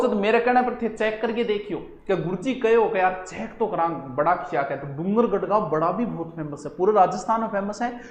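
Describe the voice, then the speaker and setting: A man with a Rajasthani accent giving an informal exam-coaching lecture, addressing students directly and slipping into local dialect. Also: high-pitched. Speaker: history teacher for exam coaching. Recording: roomy, echoing sound.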